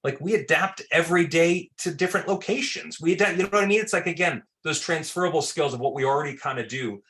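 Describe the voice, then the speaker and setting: A man's voice: on the high side, fast. A man talking loosely in an interview about his film work, with many fillers and restarts. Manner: loose, filler-heavy, repeats words; says he has a very loud voice. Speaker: film director. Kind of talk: casual interview answers.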